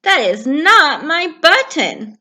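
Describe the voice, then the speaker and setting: A woman's voice: sits high, sing-song, pushed and bright, voice pushed up, exaggerated voice. A woman voicing a fussy, grumpy male toad who gets upset easily, in a storybook reading. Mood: indignant. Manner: whiny and indignant, drawn-out complaints.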